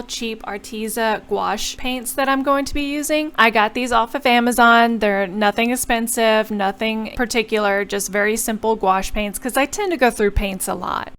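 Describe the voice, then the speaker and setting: A woman's voice: a little high, clean tone. A young woman chatting through a journal painting tutorial as a voice-over, explaining each step and apologizing now and then. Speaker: bullet journal creator.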